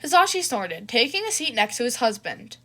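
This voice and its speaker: A woman's voice: a little high, sing-song, a little fast, fairly bright tone. A woman narrating scripted fan fiction as the host of her own reading channel. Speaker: podfic reader and channel host.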